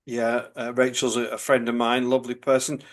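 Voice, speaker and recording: A man's voice: medium pitch, little pitch movement, a little fast. A man, a priest hosting a podcast, who asks his guest questions in a friendly, inviting way. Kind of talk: interview hosting.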